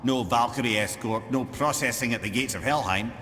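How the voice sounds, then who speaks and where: An actor playing a wry, learned old storyteller and guide in a video game, articulate and talkative, with a Scottish accent. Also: male voice, medium pitch, a little fast, sharp tone, slight background noise, close to an everyday voice. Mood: helpful, wry. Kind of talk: video game dialogue acting.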